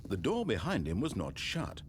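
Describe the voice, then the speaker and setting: A dry, wry game narrator. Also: male voice, lowish voice, wildly swooping pitch, normal speed, slight background noise. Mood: ominous. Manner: dry, theatrical storytelling. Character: wry omniscient British male game narrator. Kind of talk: scripted narration.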